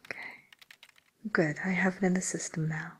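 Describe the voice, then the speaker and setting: A woman playing a polite, attentive matchmaker for villains in a whispered ASMR roleplay, with gentle, hesitant, breathy delivery. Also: on the low side, level tone, normal speed. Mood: politely helpful. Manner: gentle, hesitant, courteous customer-service delivery. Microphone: close microphone.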